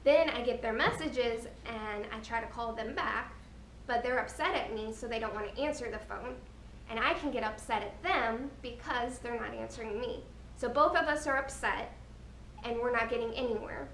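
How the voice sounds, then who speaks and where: A woman giving a scripted slide presentation, explaining each point in a deliberate, earnest way. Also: a little high, fairly bright tone. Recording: roomy, distant mic with background noise.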